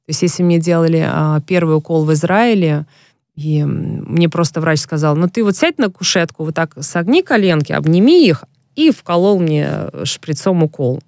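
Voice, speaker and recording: A woman's voice: low-pitched, expressive, normal speed, a little mellow, dry recording, studio quality. A woman, a former professional basketball player, speaking as an interview guest and telling long, frank stories about her career. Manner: frank and reflective, storytelling at length. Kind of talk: casual interview talk.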